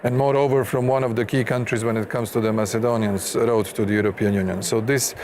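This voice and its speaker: A man speaking as a politician in a formal panel debate, measured, diplomatic and insistent. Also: fairly low pitch, steady tone, darkish tone. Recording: clear background noise and ambience.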